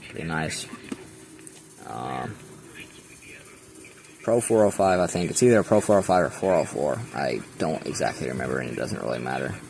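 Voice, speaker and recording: A man's voice: a bass voice, animated, normal speed, vocal fry, pauses often, a little mellow, a little hiss or hum, rough recording. A young man casually showing his radio collection in his bedroom, rambling with many ums, filmed point-of-view on a handheld camera. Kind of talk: casual show-and-tell.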